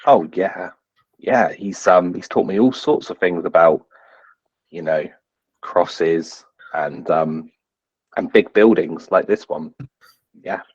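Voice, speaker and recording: Man playing a deep-voiced, bumbling young squire. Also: slow, pauses often, husky, no echo, lo-fi sound, full character voice. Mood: sheepish, eager. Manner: hesitant, rambling, informal. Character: cheeky young squire, bumbling but self-important.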